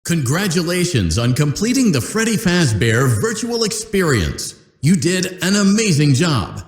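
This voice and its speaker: A glib, cheery corporate announcer for a video game. He talks with a polished sales-pitch lilt and a lively, swinging pitch. The voice rings with a roomy echo. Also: a little slow, faint music behind. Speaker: company announcer. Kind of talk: scripted game voice lines.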